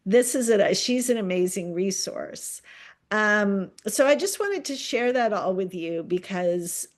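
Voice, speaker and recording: A middle-aged woman instructor giving earnest advice to her students, pausing often with ums. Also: mid-range voice, a little mellow. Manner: earnest, many filler ums. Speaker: college instructor. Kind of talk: recorded class talk to students.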